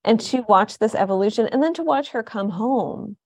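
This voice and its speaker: A woman's voice: a little high, expressive, moderate pace, no room sound. A woman hosting an interview in a conversational style. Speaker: interview host.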